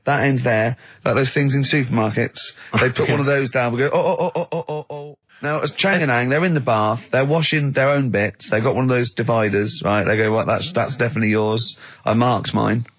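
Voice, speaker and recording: A man's voice: mid-range voice, fairly quick, few pauses, fairly bright tone, dry recording. A man hosting a radio show, bantering and acting out comic quotes in a teasing, argumentative way. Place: radio studio.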